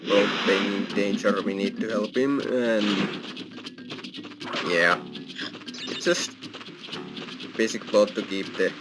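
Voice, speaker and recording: A man's voice: on the low side, fairly even intonation, slow, pauses often, heavy background noise. A man giving casual, explanatory let's play commentary as a gaming host, with non-native phrasing. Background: video game music.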